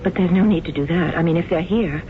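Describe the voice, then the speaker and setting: A woman's voice: on the low side, fast, slight background noise, lightly acted. An actress playing a celebrated stage actress haunted by her past, in a 1970s radio drama. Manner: hesitant, stammering when shaken. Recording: tinny old radio broadcast with music.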